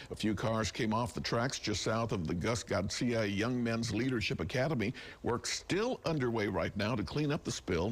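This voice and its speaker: An American man anchoring a television newscast, reading stories and chatting lightly with colleagues. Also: medium pitch. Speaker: TV news anchor. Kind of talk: broadcast news reading with light co-anchor banter.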